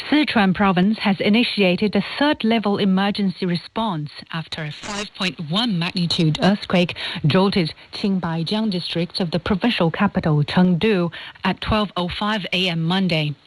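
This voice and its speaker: Lower-pitched woman reading radio news. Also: no echo, poor audio. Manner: formal, measured delivery. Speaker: news reader. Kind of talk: scripted radio news bulletin. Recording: shortwave radio broadcast.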